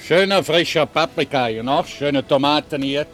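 A man's voice: fairly high pitch, normal speed, fairly bright tone. Plain-spoken male market trader, light music behind. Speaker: market stall greengrocer. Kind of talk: casual interview talk and market calls.

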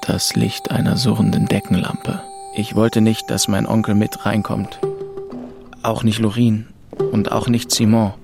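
A man reading scripted first-person literary narration as an audiobook narrator, with a creaky voice. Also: fairly low pitch, level tone, measured pace, music in the background.

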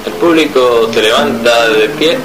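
A man's voice: medium pitch, a little slow, poor audio. Male comedy radio host, Argentine accent, mock-interview style, mid-range voice over prominent guitar.

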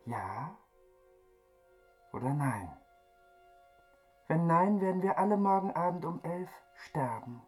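An actor playing a menacing, lovesick masked madman in a radio drama, theatrical and swinging from whispers to high calls. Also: very animated, slow, pauses often, faint music behind. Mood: menacing, taunting. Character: deranged masked phantom, theatrical, menacing, lovesick male ventriloquist.

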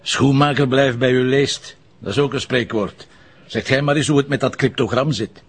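A man playing a gruff, sarcastic police commissioner with a Flemish accent in a radio drama. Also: medium pitch, fairly even intonation, moderate pace, lightly acted. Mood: brusque. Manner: sardonic, grumbling.

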